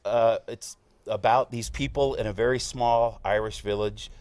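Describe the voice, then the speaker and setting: Low-voiced man, halting explainer. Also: fairly even intonation, a little mellow, dry recording. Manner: halting, pausing mid-sentence. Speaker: actor, interview guest. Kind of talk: casual explanatory interview talk. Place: TV studio.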